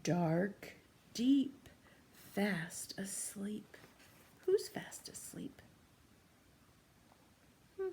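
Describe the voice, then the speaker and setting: Female children's read-aloud teacher, unhurried and gentle with a creaky edge, asks questions, light noise in a home room. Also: mid-range voice. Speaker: teacher reading a picture book to young children. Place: small room at home, indoors.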